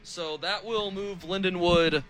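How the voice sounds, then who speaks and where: A man's voice: high-pitched, steady tone, a little slow, a crowd in the background. A man in his twenties with an American accent calling live football play-by-play as a radio announcer. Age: 20s.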